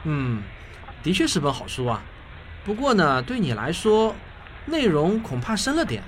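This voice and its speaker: A man's voice: on the high side, huge pitch swings, moderate pace, a little hiss or hum. A man playing a genial, indulgent father who explains things patiently in a radio drama.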